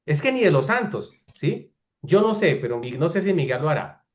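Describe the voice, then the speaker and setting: A man's voice: on the high side, big pitch swings, normal speed, highs cut off. A man speaking as a friar giving an informal lecture, emphatic and often checking understanding with short questions.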